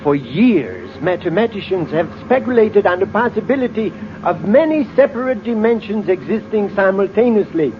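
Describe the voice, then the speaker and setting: Excitable, high-voiced professor. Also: male voice, normal speed. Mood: grave, fascinated. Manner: hesitant, precise, formal, awed by discovery. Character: excitable male professor, astronomer and mathematician. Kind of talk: 1950s radio drama acting. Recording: dull, noisy vintage radio recording.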